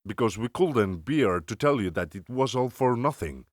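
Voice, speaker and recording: A man playing a stern, solemn older vampire-slayer elder, speaking gravely and formally in a cinematic audio roleplay. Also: mid-range voice, lively intonation, normal speed, dry recording, clean studio sound, natural voice.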